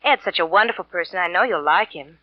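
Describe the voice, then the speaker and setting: Actress as pleading woman with swooping pitch. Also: lively intonation, quick pace, no echo, lightly acted. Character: pleading, evasive former sweetheart, married for money. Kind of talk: 1940s radio drama acting. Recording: dull, dry vintage radio recording.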